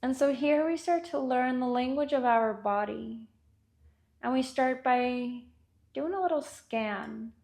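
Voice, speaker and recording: A woman with a fairly high, noticeably creaky voice leads a guided meditation. She speaks very slowly and gently, leaving long pauses between short instructions. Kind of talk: guided body scan meditation.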